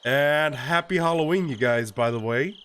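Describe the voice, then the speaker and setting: A young man with a stuffy, congested voice from a cold, reading a visual novel aloud on a live stream in a relaxed way, with frequent laughs and fillers. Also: a little high, normal speed, slight background noise.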